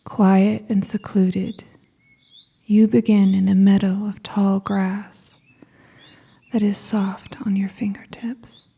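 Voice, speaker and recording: A woman with a soft, breathy, whispery voice guiding a scripted meditation in a soothing, gentle manner with deliberate pauses. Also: mid-range voice, level tone. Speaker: hypnosis and meditation guide.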